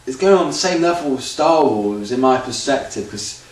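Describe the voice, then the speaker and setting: A man's voice: medium pitch, lively intonation, moderate pace. A man talking off the cuff in an unscripted audition tape, rambling with loose grammar and restarts. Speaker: auditioning actor. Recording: very echoey room, far from mic, faint noise.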